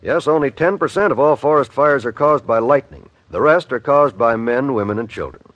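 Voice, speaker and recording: Animated old-time radio announcer, a man. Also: mid-range voice, a little fast, no room sound, dull audio. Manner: earnest, formal, emphatic.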